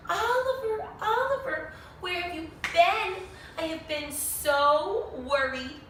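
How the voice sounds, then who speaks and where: A woman voicing an anxious, caring mother in a children's storybook, firm but gentle. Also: very high voice, animated, slow pace, voice pushed up, heavily acted. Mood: worried, calling. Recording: echoey room, distant mic, faint noise.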